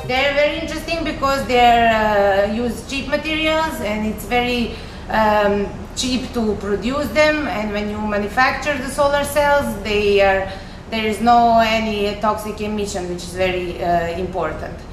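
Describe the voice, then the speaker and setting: A woman's voice: a little high, unhurried, over music. Young Serbian woman, echoey room. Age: young adult. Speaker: chemistry researcher, recent graduate. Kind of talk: informal explanatory science presentation. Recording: echoey room with faint noise.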